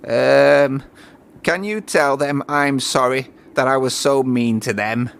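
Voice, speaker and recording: A man's voice: mid-range voice, takes it slowly, gravelly, a bit bright, clear, resonant tone. A man reading a children's storybook, voicing a grumpy, self-important male dockside crane. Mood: sheepish.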